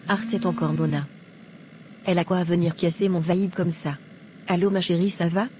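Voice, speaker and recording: A woman playing a judgmental young churchgoer in an animated moral drama, chatty and smug. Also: lowish voice, moderate pace, background noise, natural voice. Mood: mildly annoyed. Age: young adult.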